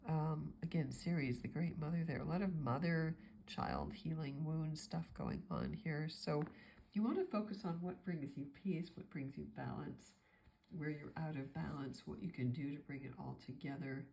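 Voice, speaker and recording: A woman's voice: deep voice, animated, moderate pace, vocal fry, dark tone, slight background noise. A woman astrologer with a stuffy nose from a cold, talking through a forecast in a meandering, thinking-aloud way.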